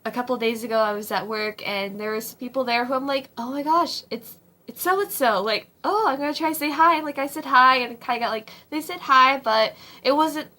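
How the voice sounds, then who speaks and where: A young woman in her twenties, slightly hoarse, giving casual advice from her home kitchen in a rambling way, with many ums and likes. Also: high-pitched, brisk, bright, forceful tone. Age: 20s. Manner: rambling, full of ums and likes, frequent laughs. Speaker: vlogger. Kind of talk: casual faith-based advice vlog.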